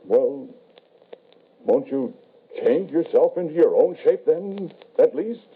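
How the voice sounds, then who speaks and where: A man's voice: fairly high pitch, expressive, takes it slowly, husky, full character voice. An actor playing an anguished, haunted father with a grave, hesitant, dramatic delivery in old-time radio horror style. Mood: horrified. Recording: noisy, tinny vintage radio broadcast.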